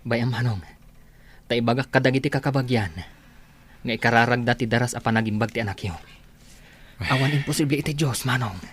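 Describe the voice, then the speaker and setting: A man acting a gentle, respectful and devout younger brother, full of concern, in a scripted audio drama with music behind him. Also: mid-range voice, steady tone, fairly quick, pauses often, breathy edge, natural voice.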